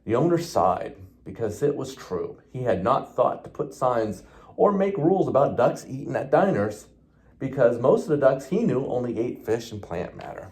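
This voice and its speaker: A man's voice: medium pitch, sing-song, normal speed, darkish tone. A man, a police officer, reading a children's storybook aloud expressively, with the odd small stumble.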